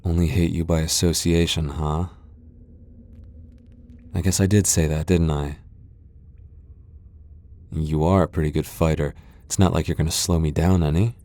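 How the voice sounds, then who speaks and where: A man's voice: rumbling low, steady tone, moderate pace, pauses often, warm tone. A male voice actor playing a proud tsundere vampire lord in an ASMR boyfriend roleplay, haughty and defensive, stammering when flustered. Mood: guarded, smug.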